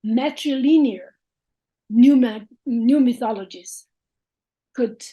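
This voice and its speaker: A woman lecturing in English with a Slovene accent, speaking in a slow, reflective way with frequent hesitant fillers. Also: a little high, mellow, poor audio. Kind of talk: academic lecture.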